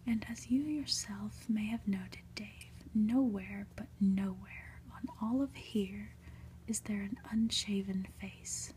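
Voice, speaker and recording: Female ASMR reader: breathy whispered storytelling, mellow and unhurried, faint noise behind. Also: medium pitch, steady tone. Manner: slow, deliberate, hushed delivery with dramatic pauses.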